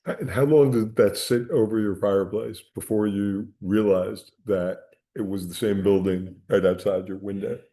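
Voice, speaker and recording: A man's voice: on the low side, steady tone, normal speed, few pauses, mellow. A man moderating a panel, asking easygoing questions.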